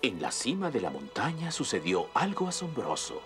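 A lively-toned storytelling baker. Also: male voice, medium pitch, normal speed, faint music behind. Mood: calm.

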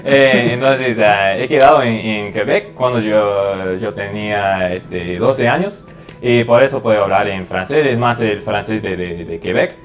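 A young man from the United States with an American accent, a podcast guest talking in a halting way with many repeated words and fillers. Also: fairly low pitch, soft background music. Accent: American English. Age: 20s. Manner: hesitant, many repeated words and fillers while switching languages. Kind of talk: casual podcast chat. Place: small living room studio.

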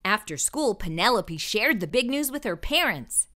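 A woman narrates a picture book for young children, with a lively swing in pitch and a bright voice. Her storytelling is expressive and deliberate. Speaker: storybook read-aloud host.

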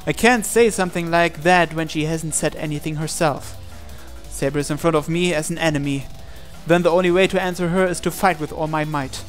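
Higher-voiced man dramatically narrating a story. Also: brisk, faint music behind. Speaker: let's-play reader of a visual novel. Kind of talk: dramatic first-person story narration read aloud.

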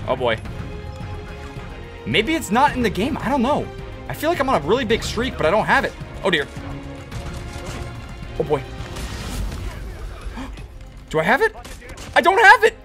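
A young man giving excited gameplay commentary from his home setup on a headset, his voice cracking and hoarse as he swings between mutters and shouts. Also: very high voice, a little slow, pauses often, music in the background. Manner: hyped, exclamatory, jumps between mutters and shouts. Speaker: gaming YouTuber. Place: home gaming setup, indoors.

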